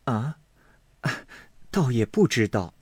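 A man voicing a gentle young maid in an audiobook reading of a classic novel, speaking softly and mildly. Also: medium pitch, very animated, takes it slowly, breathy edge, no echo, heavily acted. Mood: mild, coaxing. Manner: soft, hushed, mild.

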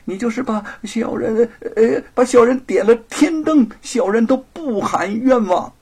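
A man's voice: very high-pitched, unhurried, vocal fry, a little mellow, husky, voice pushed up, exaggerated voice. A man voicing a wily, servile thief in an audiobook story, wheedling and protesting. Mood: pleading protest. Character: wily servile male thief, groveling then gloating.

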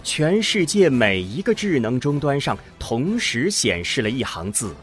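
A man narrating a scripted science fiction audio drama in a dramatic storytelling manner, landing phrase endings deliberately. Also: mid-range voice, sing-song. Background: music under the voice.

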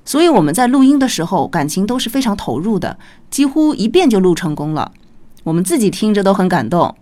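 A woman's voice: mid-range voice, sing-song, a little fast, dark tone. A woman giving a personal thank-you speech to listeners.

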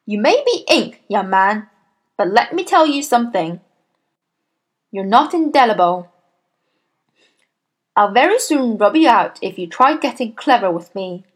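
A huge, imperious headmistress from a children's storybook. She barks and snaps with open contempt for small people, her pitch swinging widely at a slow, deliberate pace. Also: very animated. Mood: menacing. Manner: barking, snapping, imperious.

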